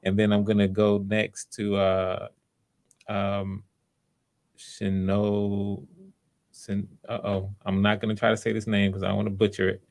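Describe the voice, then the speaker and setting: A man hosting a live online audition in a casual, encouraging, informal way, often pausing as he improvises. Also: fairly low pitch, completely flat delivery, gravelly, a little mellow. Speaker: audition host.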